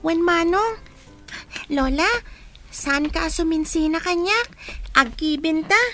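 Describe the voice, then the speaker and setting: A woman's voice: very high voice, takes it slowly, a bit bright, soft background music, exaggerated voice. A woman voicing a cheerful little girl in a radio drama. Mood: chirpy.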